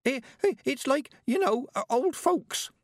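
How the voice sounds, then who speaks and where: A man's voice: very high voice, expressive, unhurried, no echo, studio quality, pitched above the performer's own voice. A man voicing a frightened teenage boy selling tickets, stammering and quavering. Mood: terrified.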